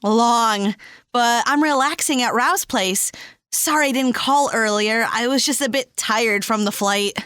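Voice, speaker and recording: Laid-back female traveler, acted by a woman: higher-pitched, conversational, close dry recording, audio drama. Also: measured pace, a bit bright, smooth, no echo. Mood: relaxed. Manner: casual, conversational.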